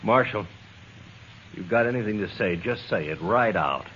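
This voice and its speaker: A man's voice: fairly low pitch, lively intonation, moderate pace, fairly bright tone. An actor playing a bitter, defiant outlaw in a 1950s radio western, curt and guarded in his talk. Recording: muffled, noisy vintage radio recording.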